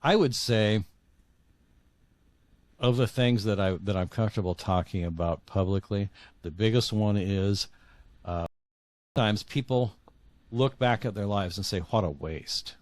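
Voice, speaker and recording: A man's voice: fairly low pitch, unhurried, pauses often, darkish tone, no echo. A middle-aged or older man talking reflectively as an interview guest, often repeating words as he searches for phrasing.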